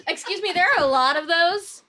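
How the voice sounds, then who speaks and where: A woman's voice: very high voice, a little fast, heavy background noise. A woman hosting and narrating a casual comedy show in a playful, giggly manner, moving between chat and reading stage directions. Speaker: host and narrator.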